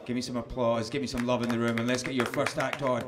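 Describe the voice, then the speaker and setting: An actor playing a brisk, offhand male compere at a comedy night. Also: medium pitch, flat delivery, background noise, natural voice. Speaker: comedy club host.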